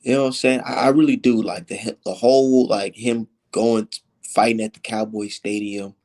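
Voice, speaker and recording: A man's voice: lowish voice, steady tone, normal speed, darkish tone. An American man in a casual, profane, slangy chat, talking like a sports show host. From United States, Minnesota and Texas. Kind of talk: casual street-talk chat about sports.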